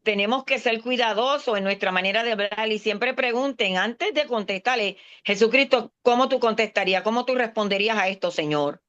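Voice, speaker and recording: A woman's voice: on the high side, a little fast, few pauses, fairly bright tone. Earnest woman pastor preaching, lo-fi sound. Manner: earnest, mixes English words into Spanish. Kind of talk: sermon in a class. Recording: dull lo-fi sound.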